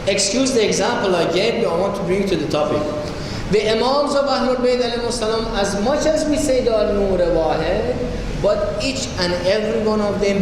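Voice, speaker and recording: A man giving a religious lecture, telling stories and putting rhetorical questions to his listeners. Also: high voice. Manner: rhetorical questions to the audience, storytelling. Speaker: Islamic preacher. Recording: echoey hall with noise.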